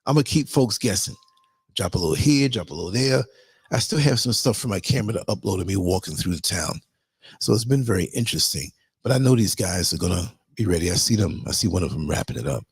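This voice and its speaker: A hoarse man hosting a livestream, reading a list of tips and then rambling casually to his viewers. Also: low-pitched, lively intonation, quick pace. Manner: rambling, chatty, addresses viewers as y'all. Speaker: livestream host.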